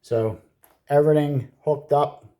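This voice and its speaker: A man giving casual hands-on repair commentary, muttering to himself in short, halting phrases with some casual swearing. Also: fairly high pitch, steady tone, dark tone. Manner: casually profane. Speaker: hobbyist repairer filming own repair. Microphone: handheld camera.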